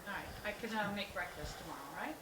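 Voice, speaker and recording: A woman's voice: mid-range voice, lively intonation, racing, crowd noise, close to an everyday voice. A woman in a staged play reading, playing a caring but exasperated adult sister with a conversational, coaxing manner. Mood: tender, weary. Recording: roomy, distant mic with clear noise.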